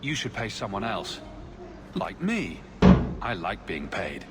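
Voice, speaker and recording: An actor playing a sardonic, wisecracking young adventurer with a dry, sarcastic manner, in video game dialogue. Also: male voice, on the low side, sing-song, slow pace, heavy background noise.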